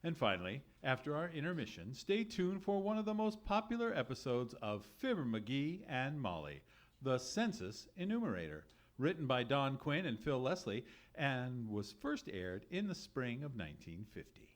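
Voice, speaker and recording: A man's voice: mid-range voice, sing-song, moderate pace. A man announcing an old-time radio show from a script, theatrical and emphatic. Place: live theatre stage.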